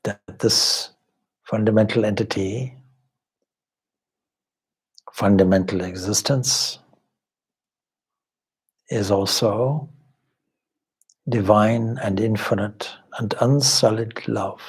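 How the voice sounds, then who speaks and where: A man leading a guided meditation as a spiritual teacher, speaking in measured, deliberate short phrases with long pauses and formal, contemplative wording. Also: on the low side, fairly even intonation, breathy edge. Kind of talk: guided meditation, slow spoken instruction.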